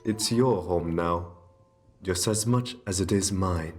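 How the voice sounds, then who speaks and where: A man playing a kind, doting aristocratic vampire in an ASMR roleplay, speaking in gentle, reassuring, genteel endearments. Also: deep voice, slow pace, soft background music. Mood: tender, reassuring. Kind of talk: ASMR roleplay, scripted intimate monologue.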